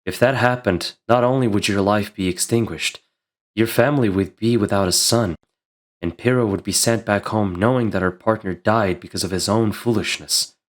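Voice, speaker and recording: A man's voice: lowish voice, close to an everyday voice. A man playing a serious, composed young male fighter in an audio drama, speaking solemnly and formally. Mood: grave. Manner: solemn, measured, formal wording.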